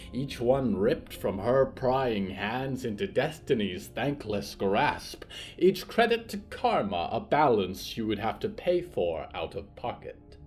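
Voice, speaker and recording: A male narrator reads dramatic fiction with a theatrical, literary delivery. His middle-pitched voice rises and falls widely, deliberate in its phrasing, over soft guitar music. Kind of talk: scripted dramatic story narration.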